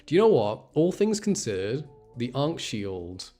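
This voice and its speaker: A man's voice: medium pitch, big pitch swings, unhurried, dark tone, faint music behind. A young man doing a casual gaming livestream, rambling and thinking aloud as he goes. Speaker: game streamer. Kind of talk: casual gaming stream chat.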